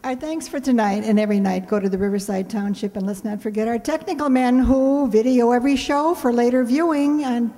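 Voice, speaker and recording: A woman's voice: a little high, fairly quick, few pauses, mellow, a little hiss or hum. A woman hosting a show, making chatty, folksy, joking announcements to an audience in a casual way. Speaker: radio play director and show host. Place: indoor hall, live stage event. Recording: reverberant hall.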